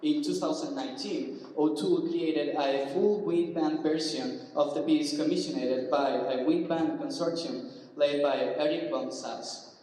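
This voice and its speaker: A man's voice: fairly high pitch, a little slow, warm tone, slight background noise. A young man from Cuba, a student, reading a formal introduction at a band concert. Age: young adult. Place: large concert hall. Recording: echoey hall from far off.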